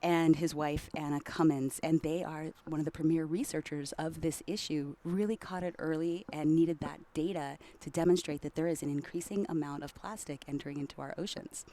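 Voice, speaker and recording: A woman's voice: sits low, steady tone, brisk, hardly any pauses, mellow. A woman from Hawaii, a campaign ambassador, giving fluent and upbeat interview answers at a trade show.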